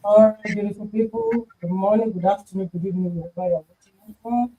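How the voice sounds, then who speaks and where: A woman's voice: medium pitch, fast talker, warm tone, a little hiss or hum, poor audio. A woman from Nigeria with a Nigerian accent, introducing herself on a livestream panel in an upbeat, exhorting way. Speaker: panel guest.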